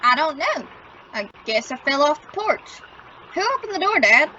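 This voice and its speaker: An eager, corny adolescent boy, voiced by a woman, high and bright with lively swings of pitch. He is the goofy son of an old-time radio comedy, heard on a slightly dull recording. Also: normal speed, slight background noise, heavily acted. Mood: earnest.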